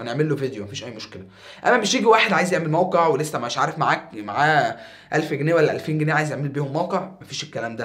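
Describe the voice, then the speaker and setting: Egyptian guy in his 20s vlogging to camera, talks super fast, kinda high voice, waves his hands around, sounds a bit tired. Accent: Egyptian Arabic. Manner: animated, gestures a lot with his hands. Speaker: YouTuber. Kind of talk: casual talk to camera. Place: small room with acoustic foam panels.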